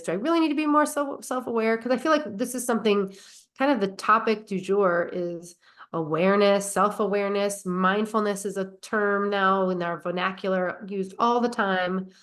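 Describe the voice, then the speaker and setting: A woman with a cold, sounding nasal and stuffy, hosting her own podcast in an earnest, reflective way and asking rhetorical questions. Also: mid-range voice. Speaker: podcast host. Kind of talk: casual solo podcast talk.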